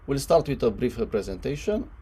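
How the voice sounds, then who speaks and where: A man hosting a webinar, giving a formal, somewhat halting introduction. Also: mid-range voice, animated, mellow, husky. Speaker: webinar host. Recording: noisy line with background ambience.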